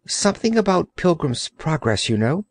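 A woman voicing a calm, helpful young girl in a storybook reading. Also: low-pitched, moderate pace, no room sound, voice pushed up.

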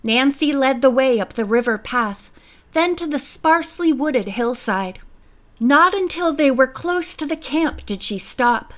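A woman narrating a vintage girls' mystery novel in a steady, measured storybook reading. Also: sits high. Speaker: audiobook narrator.

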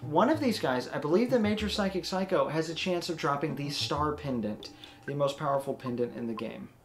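A young man hosting a let's play, commentating casually in a self-described monotone, rambling through his explanations. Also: fairly high pitch, a little fast.

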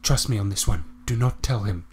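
A man's voice: on the low side, brisk, a little mellow, slight background noise, pitched below the performer's own voice, close to an everyday voice. Man playing an earnest, secretive woman conspirator. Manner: hesitant, earnest, insistent. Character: secretive, urgent female conspirator pleading for trust.

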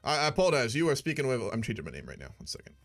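A man's voice: medium pitch, huge pitch swings, rapid-fire, a bit bright, dry recording, faint music behind. A young man streaming a multiplayer strategy game, roleplaying a head of state with blustery, emphatic declarations over a voice call from a small room at home.

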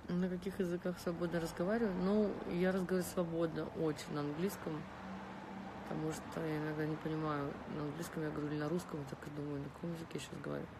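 Relaxed Russian woman chatting on a live stream. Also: low voice, fast, noisy background. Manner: relaxed, lying back, reading comments aloud and answering. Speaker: livestream host answering viewer comments. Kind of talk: casual live chat, switching between languages. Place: bedroom, lying against pillows indoors. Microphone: phone held close.

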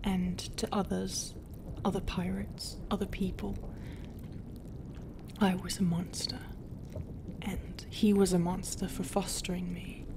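A woman playing a proud, brooding, non-human pirate captain in a roleplay, guarded and often dropping into a half-whisper with hesitant pauses. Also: on the low side, gravelly, noisy background. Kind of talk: roleplay ASMR acting.

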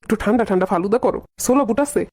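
A woman's voice: mid-range voice, racing, darkish tone, lightly acted. A woman voicing a long-suffering young husband in a cartoon comedy, with a Bangladeshi accent and a conciliatory, exasperated manner. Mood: placating.

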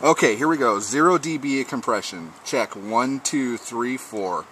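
A man's voice: mid-range voice, moderate pace, a bit bright. A man talking casually as an amateur radio operator, trading call signs and test reports. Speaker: amateur radio operator testing his rig. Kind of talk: casual ham radio exchange. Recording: clearly noisy outdoor radio-shack recording.

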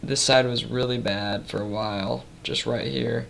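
A young man in his twenties giving a casual late-night webcam update, tired and slightly raspy, rambling with many ums. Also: fairly even intonation, a little slow, slight background noise. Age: 20s. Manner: rambling, many ums, soft and low-key. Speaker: vlogger. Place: small room indoors. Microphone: built-in webcam mic at close range.

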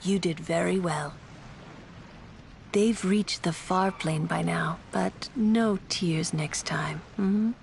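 An actress voicing a composed, stern, protective sorceress in a video game, measured and solemn. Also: female voice, lowish voice, vocal fry, slight background noise. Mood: gently reassuring.

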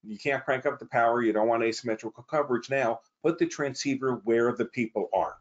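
A man's voice: mid-range voice, a little fast, lo-fi sound. A man speaking as a company guest, informally explaining technical matters on a webinar over a video call.

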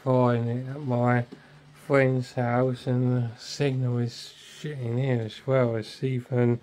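Slow, flat-voiced man in a noisy room. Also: medium pitch. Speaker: radio hobbyist reviewer. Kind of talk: casual hands-on gadget commentary. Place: indoors in a house. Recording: clearly noisy handheld home recording.

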